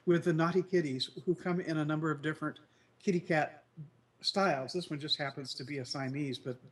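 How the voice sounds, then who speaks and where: A man's voice: fairly high pitch, fairly even intonation, fairly quick. Man with a congested, nasal voice. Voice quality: slightly nasal, croaky. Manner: rambling, many fillers and restarts. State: congested, recovering from flu. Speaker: co-host. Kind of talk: casual news chat show.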